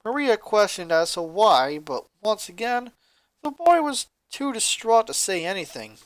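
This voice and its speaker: A woman's voice: medium pitch, sing-song, unhurried, no room sound. A woman narrating a fan fiction story from a script.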